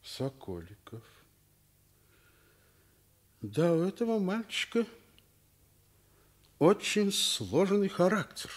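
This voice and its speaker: An actor playing a stern, principled school principal in a Soviet radio play, with an emphatic, commanding delivery. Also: male voice, high voice, very animated, takes it slowly, long pauses, exaggerated voice. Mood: firm. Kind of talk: mid-century Soviet radio play acting.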